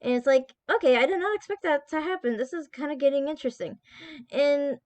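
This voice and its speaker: A woman's voice: high-pitched, a little fast, darkish tone, no echo. A woman from Texas hosting her channel, chatting casually in a rambling way, with frequent swearing and restarts. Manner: rambling, profane, self-interrupting. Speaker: channel host. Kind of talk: casual chat.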